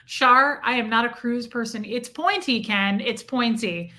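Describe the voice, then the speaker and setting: Bright chatty woman reading live chat. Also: a little high, measured pace. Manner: chatty, reacts to viewer comments. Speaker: livestream host reading chat. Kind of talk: casual livestream chat. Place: home room with a heater.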